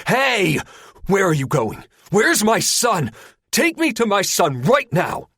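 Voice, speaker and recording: A man voicing a furious male federal agent held captive, shouting threats. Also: on the high side, lively intonation, moderate pace, no echo, clean studio sound, pitched above the performer's own voice. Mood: enraged.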